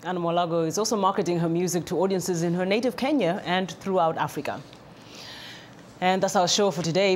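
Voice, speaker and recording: A woman hosting an interview show, asking measured questions and signing off the broadcast. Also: medium pitch, darkish tone, a little hiss or hum.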